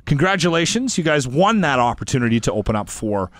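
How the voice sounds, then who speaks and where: A man's voice: mid-range voice, big pitch swings, fast, dry recording. A man hosting a radio interview, joking and teasing his guests. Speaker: radio host.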